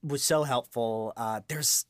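A man's voice: mid-range voice, takes it slowly, no room sound, clean studio sound. A man giving a casual interview as a voice actor, talking in a hesitant, rambling way and drifting into asides. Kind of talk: casual, unscripted interview chat.

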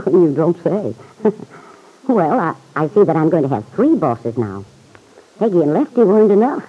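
An actress playing a warm, forgiving middle-aged small-town mother in an old-time radio serial. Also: fairly low pitch, sing-song, a little slow, pauses often, slightly rough, full character voice. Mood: fond, amused. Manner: gentle, forgiving, chuckling. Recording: vintage radio recording, muffled.

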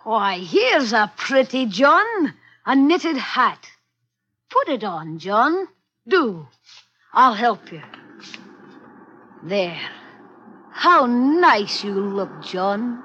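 An actor in an old-time radio drama playing a wily, coaxing little crook with rustic sailor speech. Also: male voice, extremely high pitch, big pitch swings, extremely slow, long pauses, pushed and bright, breathy edge, highs cut off, exaggerated voice. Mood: sly coaxing. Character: wily, wheedling little male crook and sidekick. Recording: vintage radio recording.

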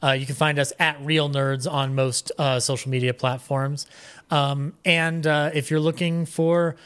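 A man talking casually as a podcast guest, halting and effusive in manner. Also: a little high, little pitch movement, fairly bright tone, clean tone. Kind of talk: casual chat.

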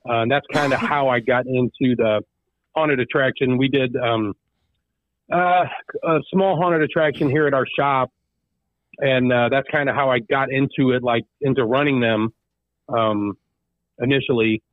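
A man's voice: medium pitch, fairly even intonation, moderate pace, no echo. A man interviewed as a guest over the phone, answering in a rambling way full of ums and restarts. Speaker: guest, haunted attraction owner. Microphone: phone call. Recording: tinny phone line.

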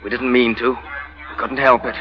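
An actor playing a desperate, earnest lover making urgent threats, speaking formally in an old-time radio drama. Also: male voice, medium pitch, level tone, normal speed, lightly acted. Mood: tense, desperate. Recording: muffled vintage radio broadcast.

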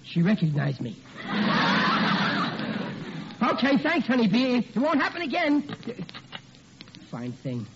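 An actor playing a bumbling, henpecked middle-aged working-class husband in 1940s radio comedy style, stammering and exasperated. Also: male voice, extremely high pitch, wildly swooping pitch, takes it slowly, long pauses, full character voice. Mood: aggrieved, desperate. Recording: muffled, noisy old radio recording.

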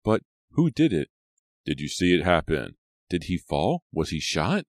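A man's voice: low voice, very animated, unhurried, dry recording, clean studio sound, exaggerated voice. A man voicing a worried but determined teenage girl, earnest and insistent, in an audiobook story. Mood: alarmed.

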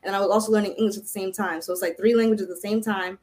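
A woman's voice: medium pitch, steady tone, rapid-fire, darkish tone. Chatty young woman from Haiti. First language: Haitian Creole. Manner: chatty, rambling with restarts and "like". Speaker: podcast guest. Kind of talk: casual conversation.

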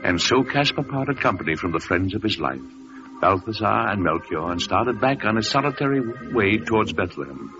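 A man's voice: fairly low pitch, very animated, moderate pace. A man narrating a story solemnly in the style of old-time radio drama. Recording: muffled vintage radio recording with music.

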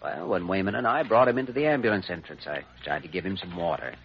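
An actor playing an earnest, hesitant young doctor who reasons carefully in an old radio drama. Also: male voice, low-pitched, fast, vocal fry. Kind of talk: 1940s radio drama acting. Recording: dull, lightly noisy old radio recording.

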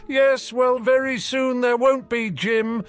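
A man voicing a cold, hateful young dark wizard who speaks with menace and spite. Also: very high-pitched, steady tone, slow, clean tone, faint music behind, voice pushed up. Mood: menacing.

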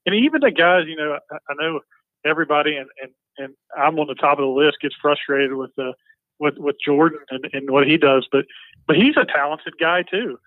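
A man in his forties in a casual sports chat, talking quickly and stammering with repeated words. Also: a little high, dry recording. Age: 40s. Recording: tinny phone-like line.

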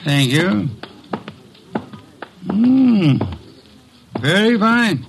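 An actor playing a fussy, grumpy, indolent detective in an old-time radio drama, pompous and dry, with curt, put-upon delivery. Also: male voice, high voice, big pitch swings, extremely slow, creaky, full character voice. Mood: curt, absorbed. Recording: noisy old radio recording.

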